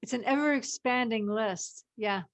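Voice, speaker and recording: A woman's voice: on the high side, normal speed. A woman chatting casually as a cast member in a group discussion. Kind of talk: casual chat.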